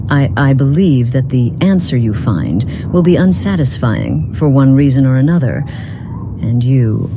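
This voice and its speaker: A woman's voice: very deep voice, background noise, full character voice. A woman voicing a lonely, long-lived otherworldly being in a role-playing game, speaking in formal, philosophical phrases. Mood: defensive. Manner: formal, philosophical, measured wording. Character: weary, long-lived, lonely woman from beyond the mortal world.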